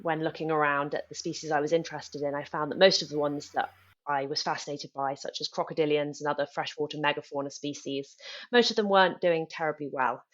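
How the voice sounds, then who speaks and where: A young woman from Nepal, a researcher talking as a podcast guest, thoughtful with many ums and quick bursts. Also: low-pitched, fairly even intonation, muffled recording. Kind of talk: podcast interview.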